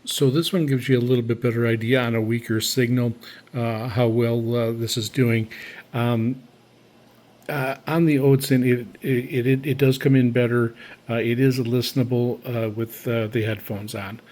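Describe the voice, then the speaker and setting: Halting man with a flat, even delivery. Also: mid-range voice. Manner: halting, deliberate. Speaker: shortwave radio hobbyist demonstrating receivers. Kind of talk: casual unscripted product demonstration.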